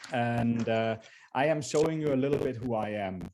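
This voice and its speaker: A man's voice: medium pitch, normal speed, darkish tone, a little hiss or hum. German-accented man hosting a webinar. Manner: earnest, repeats and restarts phrases. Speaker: webinar host. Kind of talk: live webinar presentation.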